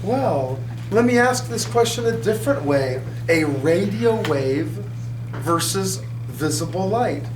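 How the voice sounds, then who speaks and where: A middle-aged man gives a physics lesson at a whiteboard, talking in a loose, conversational way and keeping the class involved with questions. He sounds far from the camera in a roomy classroom with a steady hum behind him. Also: high voice, slow. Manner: conversational, checks in with students often. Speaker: high school physics teacher. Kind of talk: classroom lecture.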